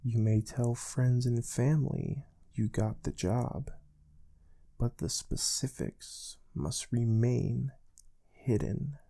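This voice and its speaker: Man playing a slow, soft, mellow space-agency interviewer. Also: mid-range voice, vocal fry. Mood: formal, quietly stern. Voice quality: soft-spoken. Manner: measured, deliberate, formal. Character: calm, stern male space research job interviewer. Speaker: ASMR role-play performer. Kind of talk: soft-spoken ASMR role play.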